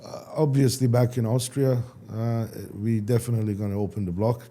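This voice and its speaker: A man, a startup founder answering interview questions casually in halting, with many restarts. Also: mid-range voice, fairly even intonation, dark tone. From Iran. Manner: halting, restarts, non-native phrasing.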